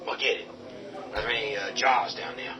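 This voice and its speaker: A man's voice: lowish voice, fairly even intonation, moderate pace, natural voice. An actor playing a tough, commanding man who leads survivors in a radio drama, speaking curtly with bursts of anger. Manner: curt, forceful, bursts of anger. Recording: distant voice with loud music.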